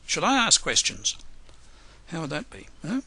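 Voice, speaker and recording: A hoarse man runs a live software webinar, working through steps with plenty of ums and restarts. His voice is gravelly and husky, yet sharp and forceful in tone. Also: on the high side, wildly swooping pitch. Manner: unhurried, many fillers and restarts. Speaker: software trainer.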